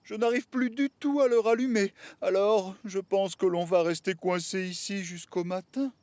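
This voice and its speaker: A man's voice: sits high, fairly even intonation, moderate pace, a little mellow, lightly acted. A man voicing a proud but good-hearted steam engine in a children's cartoon, earnest and plain-spoken. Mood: contrite. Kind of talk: French-dubbed children's cartoon acting. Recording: music bed behind the voice.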